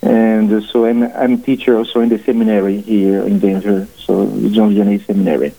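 A man in his forties with a Portuguese accent, a priest guest speaking haltingly, with many fillers and restarts, on a radio interview. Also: fairly low pitch, steady tone. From family from Portugal. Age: 40s. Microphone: phone call into studio. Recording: phone line.

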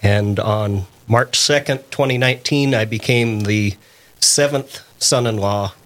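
Slow-talking, modest male guest. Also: fairly low pitch, a bit bright. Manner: modest, wry, unhurried. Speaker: radio guest. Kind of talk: radio studio conversation. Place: radio studio.

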